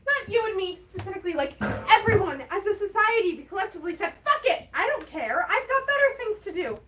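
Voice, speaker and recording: A woman's voice: extremely high pitch, big pitch swings, quick pace, distant mic. A woman playing a distraught, indignant young woman in a naturalistic audio drama, with an emphatic, sarcastic, exasperated manner. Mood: upset, indignant. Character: distraught, indignant young woman fretting over extinct bees.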